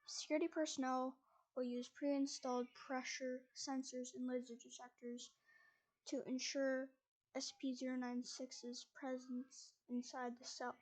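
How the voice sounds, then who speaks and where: A woman's voice: high voice, level tone, unhurried, a little mellow, low-quality recording. A woman reading a document aloud, stumbling over words and restarting lines as she goes. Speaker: reader.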